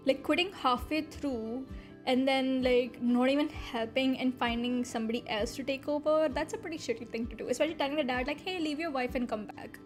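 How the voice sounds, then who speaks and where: A young woman streamer with a high, bright voice whose first language is Hindi. She reads posts aloud and reacts in an animated, incredulous way, laughing often and swearing freely. Soft music plays underneath her. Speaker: VTuber streamer. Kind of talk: reading and reacting to online posts on a live stream.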